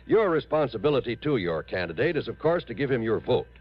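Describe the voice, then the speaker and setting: Animated male old-time radio announcer. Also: mid-range voice, brisk. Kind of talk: scripted 1950s-60s radio announcing and public appeal. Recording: muffled old radio broadcast.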